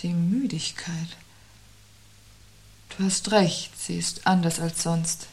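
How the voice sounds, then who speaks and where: A woman acting a sharp-witted, contrary wife in a 1970s radio play, phrasing things precisely and with dry irony. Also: fairly low pitch, fairly even intonation, slow pace. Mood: troubled, strained.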